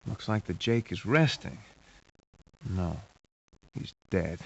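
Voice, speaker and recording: Lively low-voiced wisecracking investigator. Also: male voice, slow pace, gravelly, exaggerated voice. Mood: wry, casual. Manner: wry, casual, slangy. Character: wisecracking, streetwise male private investigator. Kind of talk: video game hero acting. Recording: dull, hissy low-fidelity game audio.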